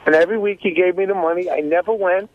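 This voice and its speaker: A man's voice: high-pitched, fairly even intonation, fast talker. A man telling a casual personal anecdote as a guest on talk radio. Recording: dull lo-fi sound with faint noise.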